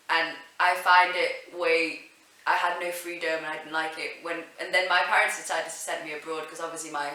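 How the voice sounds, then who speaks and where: A woman's voice: lowish voice, pushed and bright. An eighteen-year-old woman from Thailand giving a documentary interview, thoughtful and hesitant, often restarting her sentences. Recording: echoey room.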